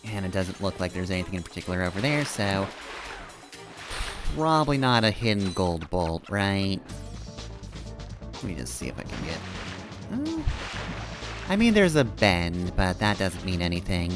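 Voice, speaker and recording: A man's voice: deep voice, big pitch swings, moderate pace, pauses often. A man streaming a video game, giving casual, rambling commentary in a wry, playful way as he muses to himself. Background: video game music.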